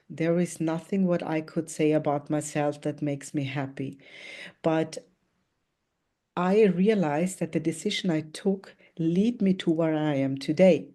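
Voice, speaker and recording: A woman in her thirties from Austria tells her story as a network marketing speaker, her voice low and warm. She speaks earnestly and reflectively, with small hesitations, over a video call. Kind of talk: motivational talk about her life. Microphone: video call, remote.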